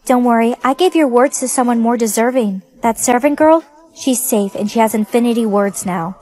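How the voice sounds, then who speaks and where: A fairly high-voiced queen, acted by a woman in a scripted skit. She is imperious and commanding, ruthless yet capable of generosity. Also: moderate pace, a little mellow, faint music behind, natural voice.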